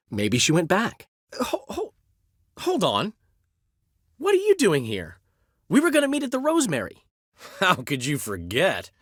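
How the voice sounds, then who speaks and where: A witty, vain male bard who carries himself like a count in a video game. His delivery is theatrical and teasing, swinging widely in pitch between laughter and mock outrage. The sound is close and dry, with no room around it. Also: fairly bright tone, dry recording, full character voice. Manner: theatrical, playful, bursts of laughter and exclamation.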